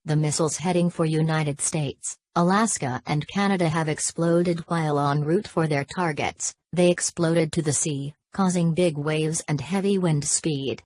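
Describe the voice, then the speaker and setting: A synthetic text-to-speech woman's voice reading a scripted emergency broadcast in a flat, formal, impersonal manner. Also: deep voice, dry recording. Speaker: emergency alert announcer.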